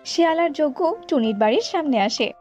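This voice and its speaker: Casting: female storyteller for children's tales, high voice, lo-fi track with music underneath. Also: lively intonation, quick pace, darkish tone. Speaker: storyteller narrator. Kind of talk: scripted children's story narration. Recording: dull lo-fi audio with music behind.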